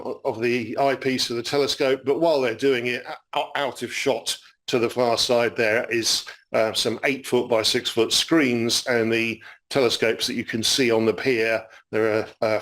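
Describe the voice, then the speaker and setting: A man's voice: medium pitch, fairly bright tone. A man giving a public astronomy lecture, friendly and explanatory, with frequent fillers as he talks through slides.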